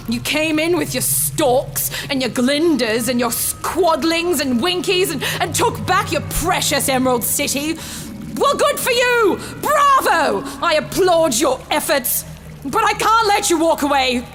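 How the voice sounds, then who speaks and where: A high, theatrical female voice portrays a vengeful rebel commander in an audio drama. She is sardonic and gloating, with an unhinged edge. Dramatic music runs underneath. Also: expressive, unhurried. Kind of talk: full-cast fantasy audio drama acting.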